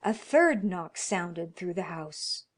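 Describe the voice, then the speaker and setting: A woman reading a classic horror story as narrator, dramatic and expressive, at times dropping into hushed tones. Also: fairly low pitch, takes it slowly.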